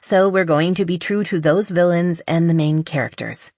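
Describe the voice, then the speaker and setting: A woman voicing a tired, businesslike young female wedding planner in an audiobook, brisk and organized. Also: lowish voice, a little mellow, no echo, studio quality, pitched above the performer's own voice.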